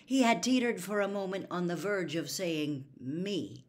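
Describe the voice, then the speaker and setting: A woman reading a story aloud in an expressive storybook narration style. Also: fairly low pitch, normal speed.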